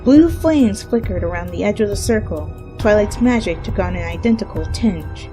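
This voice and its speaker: A woman's voice: medium pitch, expressive, moderate pace, music in the background. A woman giving a scripted dramatic reading of a story as its narrator.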